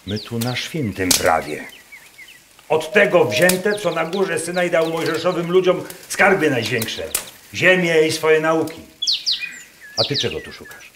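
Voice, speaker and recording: An actor playing a gruff, proud rustic Masurian man in a radio drama, speaking folksy dialect in a probing, declamatory way. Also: fairly high pitch, big pitch swings, unhurried, noisy background. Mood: proud, insistent. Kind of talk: theatrical radio drama dialogue. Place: performed live in a wooden barn.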